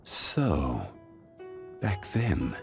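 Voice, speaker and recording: A man playing an ancient, calm, dignified gentleman in a video game, speaking in a formal, measured, reflective way. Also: extremely low pitch, creaky, over music, heavily acted. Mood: composed. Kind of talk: scripted video game character voice lines.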